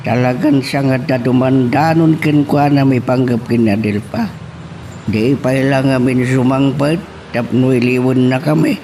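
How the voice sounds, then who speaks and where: A man playing an animated father of a rural family in an Ilocano audio drama. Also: medium pitch, normal speed, close to an everyday voice. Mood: concerned. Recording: some background noise.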